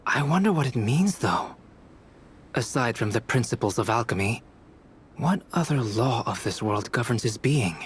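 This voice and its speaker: Man voicing a measured, scholarly young alchemist. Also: medium pitch, animated, slight background noise, lightly acted. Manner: measured, thoughtful, polite. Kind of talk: video game voice acting. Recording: game audio with faint music behind.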